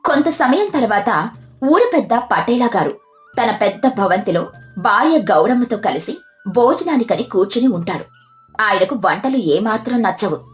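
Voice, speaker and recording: A woman's voice: on the high side, very animated, moderate pace. A woman reading a scripted moral story as narrator. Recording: music behind the voice.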